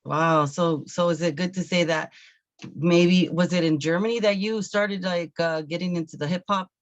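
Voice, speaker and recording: A man hosting a casual interview, hesitant and searching for words, with agreeable interjections and a creaky voice. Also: high voice, steady tone, normal speed, no room sound, highs cut off. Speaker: talk show host interviewer.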